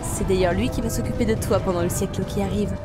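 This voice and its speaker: A woman's voice: medium pitch, fairly even intonation, fast talker, loud music behind the voice, lightly acted. A woman playing Death as an imperious, impatient lady in an audio drama, haughty, mocking and theatrical. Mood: condescending command.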